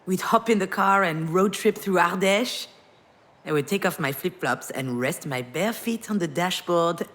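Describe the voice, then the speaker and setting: A woman's voice: lowish voice, a little slow, a bit bright. An actress playing a wistful, wounded woman parting from her ex, speaking in a reflective, intimate way in a naturalistic game performance. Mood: tender, regretful. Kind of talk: naturalistic visual novel voice acting.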